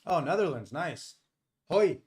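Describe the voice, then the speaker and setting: A man's voice: a little high, expressive, a little slow, a little mellow. Middle aged guy just chatting on his live stream, kinda rambly and informal, explains stuff as he goes. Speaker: live stream host and trader. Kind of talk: casual live-stream chat.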